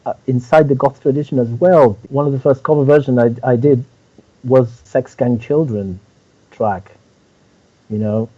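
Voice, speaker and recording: Spanish man with a warm, muffled voice. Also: mid-range voice, normal speed. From Spain. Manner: hesitant, many fillers and restarts. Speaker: musician, interview guest. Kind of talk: casual interview chat.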